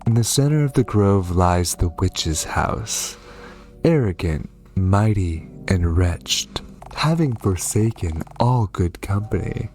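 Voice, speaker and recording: Guy playing a horror game, lowish voice, super expressive, takes his time, music behind. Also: slow. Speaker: gaming video host. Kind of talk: horror game playthrough commentary and dramatic reading.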